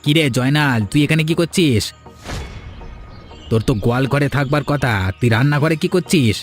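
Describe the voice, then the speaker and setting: A man's voice: a little high, fairly quick, natural voice. A man voicing an officious, tattling manservant in a fairy tale cartoon, scolding and accusing in a bullying way. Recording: music behind the voice.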